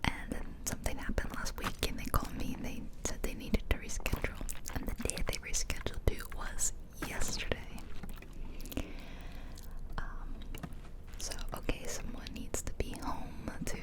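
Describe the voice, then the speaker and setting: A woman speaks entirely in a soft, breath-only whisper, very close to the microphone as in ear-to-ear ASMR. Her delivery is casual and conversational, rambling through everyday personal storytelling. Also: normal speed. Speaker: ASMR video creator. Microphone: close-up ear-to-ear stereo microphone.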